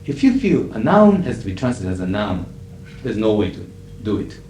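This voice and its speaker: A middle-aged man with a Japanese accent, a native Japanese speaker, lecturing as an interpreter in a measured, emphatic way with frequent fillers. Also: fairly low pitch, very animated, a little mellow. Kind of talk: lecture to an audience. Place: lecture hall. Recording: echoey 1980s hall recording with faint hiss.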